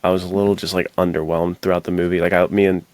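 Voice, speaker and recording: A man's voice: sits low, little pitch movement, quick pace, darkish tone, no echo. A young American man co-hosting a podcast, casually discussing films in slangy, opinionated talk. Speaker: podcast co-host.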